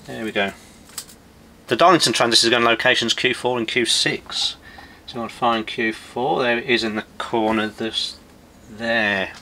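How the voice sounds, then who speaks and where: A man in his forties gives casual, practical commentary while he works at an electronics bench. His voice is bright and forward. There is faint noise and workshop ambience behind him. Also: medium pitch, steady tone, moderate pace. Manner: informal, explains as he works. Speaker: electronics repairer presenting a workshop video. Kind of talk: hands-on demonstration commentary, casual. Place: indoor electronics workshop at a workbench.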